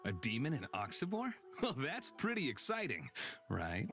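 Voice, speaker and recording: A man's voice: mid-range voice, huge pitch swings, normal speed, fairly bright tone, soft background music. A man voicing a smug, flippant bounty hunter in a visual novel, sardonic and playful with a drawl. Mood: amused, casual.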